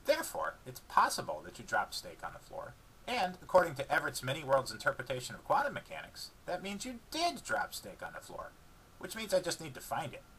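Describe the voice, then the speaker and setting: An eager, food-loving female dog from a book reading, acted by a man. The voice is pushed up high and swings widely. The delivery is casual, cheeky and ready to argue. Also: wildly swooping pitch, a little fast, fairly bright tone, husky, heavily acted, rough recording. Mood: eager, arguing.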